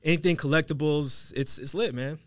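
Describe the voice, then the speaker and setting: A man's voice: a little high, fairly quick, no echo. American man in slangy podcast chat, dry sound. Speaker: podcast guest who works with an online selling platform.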